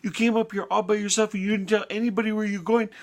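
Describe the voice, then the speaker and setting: A man voicing a kindly, concerned shepherd in a children's story, earnest and gently scolding. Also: sits high, level tone, fast, voice pushed up.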